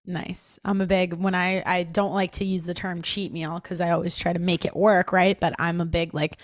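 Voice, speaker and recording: A woman's voice: lowish voice, level tone, normal speed, dry recording, clean studio sound. A woman hosting a casual podcast interview, chatty and upbeat, with many "like"s and tag questions. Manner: chatty, upbeat, lots of "like" and "right?". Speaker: podcast host.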